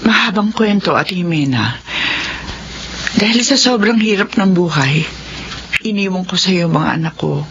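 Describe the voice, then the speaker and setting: A woman's voice: on the low side, lively intonation, normal speed, pauses often, fairly bright tone, slight background noise. An actress playing a remorseful woman in her sixties in a Filipino radio drama, speaking in an earnest, pleading, confessional manner. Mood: confessing, regretful. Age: 60s. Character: remorseful 60-year-old mother seeking her abandoned children. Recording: muffled radio drama audio with music behind.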